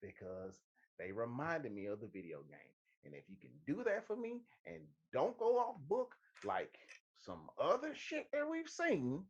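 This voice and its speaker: A man's voice: a little high, wildly swooping pitch, unhurried, muffled recording. A man co-hosting a show, bantering casually with emphatic, teasing delivery and repeated phrases. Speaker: podcast co-host.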